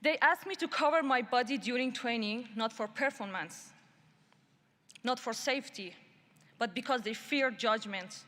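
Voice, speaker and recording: A woman from Iran addresses a human rights summit from the stage of a large conference hall. Her voice sits a little high, and she lays out each point in a measured, emphatic way. A long reverb trails behind her words. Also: fairly bright tone. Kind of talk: prepared speech.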